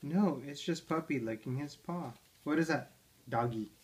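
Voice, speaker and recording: A man's voice: fairly high pitch, normal speed, slight background noise. A father reading picture books to his toddler at home, playful and coaxing, asking her questions about the pages. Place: living room armchair at home.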